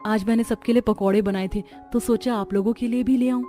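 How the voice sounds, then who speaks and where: A woman voicing a gentle, meek young woman in a cartoon story, speaking softly and patiently. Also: fairly high pitch, steady tone, a little fast, faint music behind, natural voice. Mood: friendly. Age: young adult. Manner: soft, patient, pleading. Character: gentle, meek, self-deprecating young dark-skinned sister. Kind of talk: Hindi cartoon story acting.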